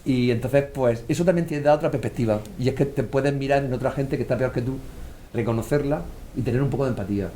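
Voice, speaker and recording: A man's voice: medium pitch, quick pace, a little hiss or hum. A man with a Murcian Spanish accent, an author guest telling stories in casual conversation and acting out the voices he quotes. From Murcia, Spain. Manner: animated storyteller, acts out quoted voices.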